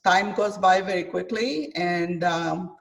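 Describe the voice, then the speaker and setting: A woman from Guatemala, a chef and author, speaking thoughtfully in an interview with frequent pauses and fillers. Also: on the low side, vocal fry, far from the mic. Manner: hesitant, many fillers, thoughtful. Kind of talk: interview conversation.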